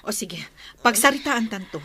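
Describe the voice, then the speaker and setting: An actress playing a caring, take-charge medical professional in an Ilocano radio drama, firm and reassuring. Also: female voice, fairly high pitch, animated, normal speed, pushed and bright.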